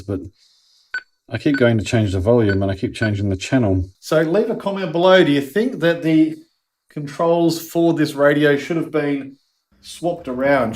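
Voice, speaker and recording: A man's voice: medium pitch, a little mellow. An Australian man giving a casual, conversational product review and explaining things as he goes. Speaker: host of a product review channel.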